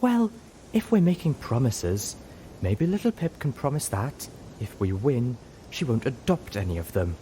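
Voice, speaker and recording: A man's voice: medium pitch, big pitch swings, unhurried, warm tone, a little hiss or hum, pitched above the performer's own voice. A man voicing a refined female pony with a frayed temper, haughty, snide and weary in a story reading.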